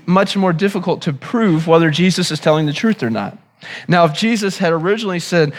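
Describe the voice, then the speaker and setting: A pastor preaching a sermon in an earnest, teaching manner, sounding a little hoarse and often repeating himself as he restarts phrases. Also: on the high side. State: hoarse, recovering from lost voice.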